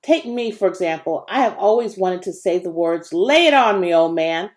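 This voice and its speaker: A woman's voice: lowish voice, lively intonation, normal speed, lightly acted. A woman voicing a laid-back, folksy, wisecracking boy in a children's storybook reading. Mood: jaunty, folksy.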